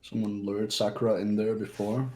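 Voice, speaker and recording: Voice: medium pitch, flat delivery, moderate pace, dark tone. Someone chatting casually with friends during a video game playthrough.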